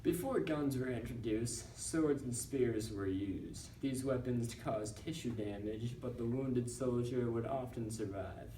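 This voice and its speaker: A young man reading a scripted school newscast report in a halting, recited manner. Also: medium pitch, dark tone. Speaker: student news presenter in a class project. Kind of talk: scripted narration read as a newscast. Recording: roomy, distant mic with background noise.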